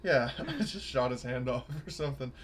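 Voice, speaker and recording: A man's voice: medium pitch, animated, a little fast, a loud crowd. A man talking casually as a player in a tabletop roleplaying game. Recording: clearly noisy mic with clicks.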